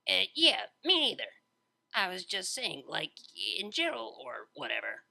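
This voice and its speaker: A brash young female DJ pony from a storybook played by a man, with a bright, creaky voice. The delivery is slangy, excitable and very animated. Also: high voice, measured pace, pitched above the performer's own voice, full character voice. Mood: flustered. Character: brash, laid-back young female unicorn DJ. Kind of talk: fan fiction audiobook character voice.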